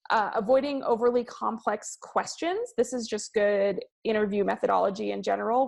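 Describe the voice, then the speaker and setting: A woman giving a presentation on research methods, speaking in a measured way with frequent ums and slowing down to stress key words. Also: medium pitch, gravelly. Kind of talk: presentation to a class.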